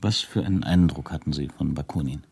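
An actor playing a sober, neutral investigator who records witness accounts in a clipped manner for a documentary radio drama. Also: male voice, rumbling low, a little fast, vocal fry, darkish tone, breathy edge, exaggerated voice. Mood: inquiring. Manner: matter-of-fact, clipped, neutral.

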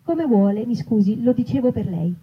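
A woman's voice: on the high side, lively intonation, moderate pace, dull audio, slight background noise. A woman voicing a mild, polite and apologetic shop cashier in a comic story reading. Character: mild, courteous supermarket cashier, patiently polite.

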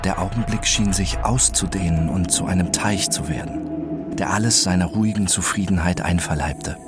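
A man playing a detached, obsessive first-person narrator in a radio drama, speaking in a measured, introspective way. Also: sits low, natural voice. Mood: detached, absorbed. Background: music behind the voice.

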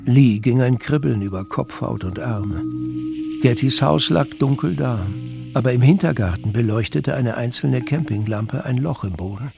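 A man's voice: mid-range voice, moderate pace, creaky. A man narrating a scripted, dramatic audiobook as a storyteller. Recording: music bed under narration.

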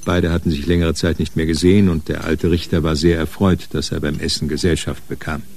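A man narrating a radio crime drama in a sober, matter-of-fact, measured way. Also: rumbling low, level tone, gravelly, a little mellow, full character voice. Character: sober narrator of a hard-boiled crime story.

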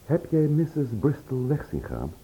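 A man's voice: a little high, huge pitch swings, moderate pace, slightly rough, muffled recording, full character voice. An actor playing a troubled, insistent man in a Dutch radio play, with urgent questions and hesitant repetitions. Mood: uneasy, insistent. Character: troubled, insistent man worried for his sister-in-law. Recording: old radio recording, faint noise.

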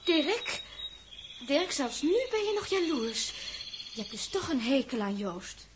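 An actress playing an anxious, tender young woman on a spaceship in a 1960s radio drama, halting and pleading. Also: sits high, big pitch swings, background noise. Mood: distressed, compassionate.

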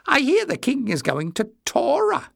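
A man's voice: very high voice, big pitch swings, measured pace, vocal fry, fairly bright tone, dry recording, clean studio sound, pitched above the performer's own voice, exaggerated voice. A man voicing a small, malicious former acrobat in a story, sly and jeering with mock courtesy. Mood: mischievous. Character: malicious little man, former palace acrobat, mocking and spiteful.

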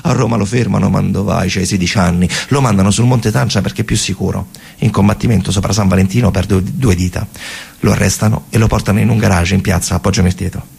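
A man with a Roman accent delivering a dramatic theatre monologue in a colloquial, emphatic way, his voice husky and gravelly. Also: low-pitched, darkish tone. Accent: Roman Italian. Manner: colloquial, emphatic storytelling with repeated phrases. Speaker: stage actor and storyteller.

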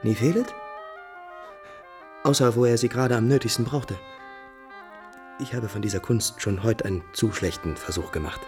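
Ein Schauspieler spielt in einem Hörspiel der 1950er einen verliebten, ängstlich schwankenden Prinzen, erregt und theatralisch. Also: male voice, medium pitch, a little mellow. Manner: agitated, theatrical, emotional. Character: lovesick, anxious, wavering young male prince. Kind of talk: 1950s German radio drama acting.

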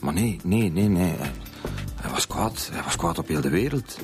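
Nervous flemish dad, deep voice, stammers n apologizes, radio play w music behind. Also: male voice, lively intonation, moderate pace. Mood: nervous, apologetic. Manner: stammering, repeats words. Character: nervous, apologetic, worried middle-aged father. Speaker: actor in a radio serial. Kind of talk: radio drama acting.

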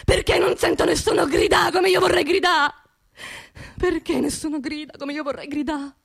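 An actress playing a grieving working-class widow in a radio theatre monologue, with a Roman accent and an intimate, halting delivery that repeats phrases. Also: female voice, high-pitched, steady tone, vocal fry. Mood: anguished outcry. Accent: central Italian, Roman. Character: grieving working-class widow of a construction labourer, haunted, indignant.

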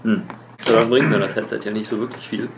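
Quick, gravelly male game player. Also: fairly low pitch, fairly even intonation, slight background noise, poor audio. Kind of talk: casual tabletop game chat.

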